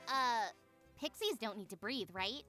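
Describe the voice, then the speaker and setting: High, animated schoolgirl magical heroine, awkward and scheming, visual novel game, unhurried delivery over music. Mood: sardonic.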